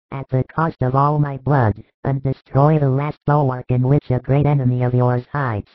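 Male reader as a grave, loving spiritual teacher for a storybook; emphatic pleading, mid pitch, narrow-band sound. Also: no room sound, natural voice. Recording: thin phone-like band, dry.

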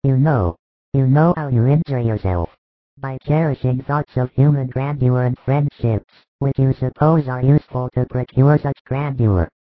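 A man voicing a gentle, grave religious master, earnestly exhorting his disciples in a storybook reading. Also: mid-range voice, normal speed, no room sound, close to an everyday voice. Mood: earnest pleading. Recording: thin phone-like band, dry.

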